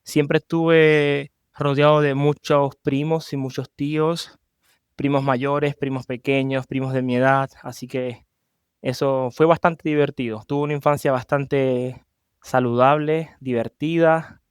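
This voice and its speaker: A man with a Venezuelan accent and a smooth, mid-pitched voice. He speaks as an interview guest in a personal, conversational storytelling style, recorded dry. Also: little pitch movement, unhurried, no room sound. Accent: Venezuelan Spanish. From Maracaibo, Venezuela. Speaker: podcast guest.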